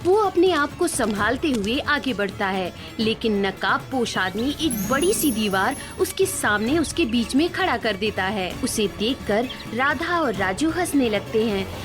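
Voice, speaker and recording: A woman's voice: a little high, animated, unhurried, hardly any pauses, over music. A woman narrating a scripted children's cartoon story.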